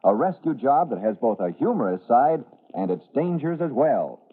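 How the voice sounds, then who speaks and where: A man's voice: mid-range voice, very animated, moderate pace, breathy edge. A man narrating an old-time radio adventure serial as announcer, in a dramatic, theatrical manner. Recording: muffled, tinny old radio recording.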